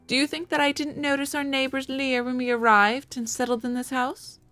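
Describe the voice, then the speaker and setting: A woman's voice: high-pitched, flat delivery, a little fast, bright, forceful tone, soft background music. A woman voicing an anxious, coy young newlywed noblewoman in a visual novel, in a theatrical manner with a wandering put-on accent. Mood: indignant.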